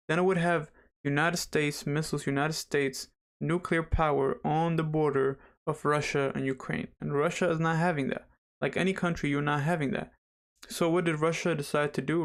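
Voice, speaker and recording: A young man hosting a casual livestream, slightly raspy after dental surgery, chatty and rambling as he talks straight to viewers. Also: fairly high pitch. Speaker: livestream host.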